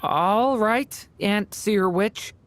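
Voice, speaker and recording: A woman voicing a gentle, curious young dragon boy in an anime-style video game, polite and earnest. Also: mid-range voice, extremely slow, slight background noise. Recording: background music under the voice.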